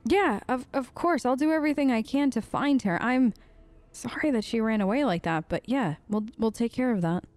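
A woman narrating her role-play game session in a fairly high voice. She talks in conversational, slightly hesitant asides as a let's player. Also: expressive, normal speed, darkish tone. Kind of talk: role-play gaming commentary, reading in-game text aloud.

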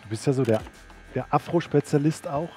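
A man's voice: fairly high pitch, brisk, darkish tone, soft background music. A man in his thirties presenting and interviewing at an event, speaking into a headset mic in a sports hall. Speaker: host and interviewer. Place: large indoor sports hall.

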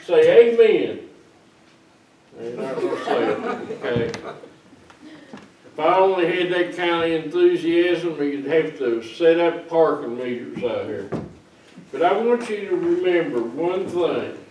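A man's voice: fairly high pitch, lively intonation, takes it slowly, background noise. An older man, a pastor giving a folksy, teasing children's sermon while seated among the kids in a small church. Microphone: far camera. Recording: roomy church sound from far off.

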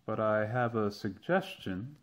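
A man acting a mild, cautious young tutor in an amateur radio drama, gently admonishing in a measured way. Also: fairly low pitch, lively intonation. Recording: dull, lo-fi sound.

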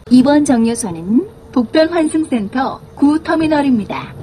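A woman's voice: high voice, dark tone, a little hiss or hum. A woman reading scripted, pre-recorded bus stop announcements.

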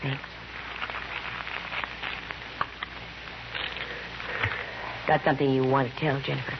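A woman's voice: very deep voice, level tone, racing, vocal fry. Breezy female gumshoe, dry wisecracks, 1950s radio drama style, muffled and noisy old recording. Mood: cool, probing. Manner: wry, wisecracking. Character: breezy, dry-witted female private investigator. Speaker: lead actress and narrator. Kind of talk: 1950s radio detective acting, first-person narration.

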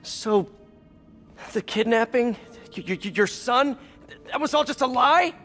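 An earnest young male superhero in a cinematic video game. He is quippy and expressive, his pitch moving around a lot, with music underneath. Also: unhurried, heavily acted. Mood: betrayed, urgent.

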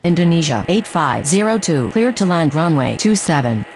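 Woman acting female air traffic radio voice, low for a woman, varied intonation, procedural, game dialogue. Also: very animated, normal speed, darkish tone. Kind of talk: scripted aviation radio phraseology.

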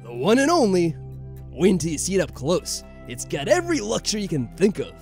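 Hearty old male bush pilot played by a man, folksy, booming, lively, game dialogue. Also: brisk, faint music behind, full character voice. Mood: jolly.

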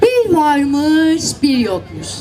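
A woman's voice: high voice, huge pitch swings, extremely slow, over music. A woman telling fairy tales live to children, addressing them directly and playfully at an outdoor event. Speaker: children's storyteller. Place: outdoors, seaside public event. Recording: outdoor event with crowd and background noise.

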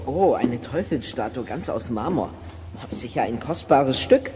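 A man's voice: medium pitch, expressive, a little slow, in a large hall, very noisy, lightly acted. A male actor playing a polite, curious, talkative boy in a children's radio play.

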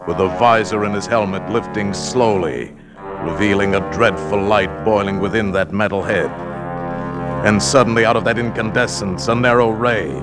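A grave, dramatic storyteller, voiced by a male actor in 1950s radio style. His low, deliberate delivery is portentous, over loud music. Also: fairly even intonation, natural voice. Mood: ominous, grave.

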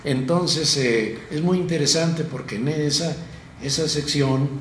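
Middle-aged guy breaking it down step by step, roomy studio. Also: on the high side. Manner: explanatory, measured, orderly. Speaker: guest. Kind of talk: studio talk show chat. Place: small TV studio, round table. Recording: roomy studio with faint noise.

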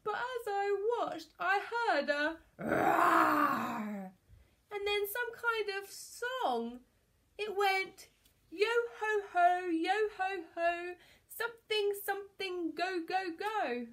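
A woman's voice: sits high, sing-song, a little slow, few pauses, pitched above the performer's own voice. A woman voicing a theatrical, blustering male pirate captain in a children's storybook. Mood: bewildered, fretful. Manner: sobbing, blustering, theatrical exclamations.

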